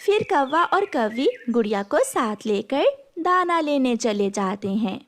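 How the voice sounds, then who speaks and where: A woman's voice: high-pitched, big pitch swings, a little slow, slight background noise. A woman narrating a scripted cartoon story for the listener.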